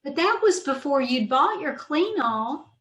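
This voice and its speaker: A woman playing a wry, bossy younger woman who is easily smitten, in old time radio comedy style with deliberate delivery. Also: sits high, very animated, a bit bright, exaggerated voice. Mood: wry, firm. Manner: dry, deliberate, stammering when flustered. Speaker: amateur radio troupe actor. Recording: roomy echo on video call.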